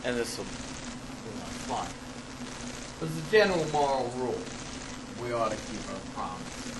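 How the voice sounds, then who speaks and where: Male lecturer, medium pitch, academic read-aloud, halting emphatic delivery, noticeable background noise. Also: lively intonation. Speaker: college ethics lecturer and paper author. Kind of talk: reading an academic paper aloud to a class with asides. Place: classroom in front of students. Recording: noisy amateur classroom recording.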